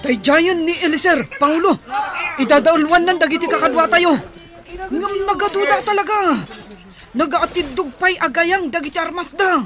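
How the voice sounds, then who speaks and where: A loyal young warrior woman of a tribe, with a very high-pitched voice. She is alert and earnest, in the manner of a radio play. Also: moderate pace, music in the background. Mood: alarmed, urgent. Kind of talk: radio drama acting.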